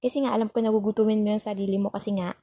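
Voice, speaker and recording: A woman acts out a young Filipino girlfriend in an intimate ASMR roleplay, fussing and scolding out of worry. Her voice is dark and breathy, recorded very dry and close. She is bossy and sulky yet caring, repeating her complaints for emphasis. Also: mid-range voice, steady tone, racing, no room sound, lightly acted. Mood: annoyed, sulky. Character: nagging, worried, overthinking young girlfriend, bossy but caring.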